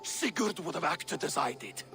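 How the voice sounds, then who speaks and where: A bloodthirsty braggart warrior with a high, gravelly bark. Every line drips with scorn. Music swells under him in the game cutscene. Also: male voice, very animated, moderate pace. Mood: scornful. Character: hot-headed male warrior, boastful and bloodthirsty.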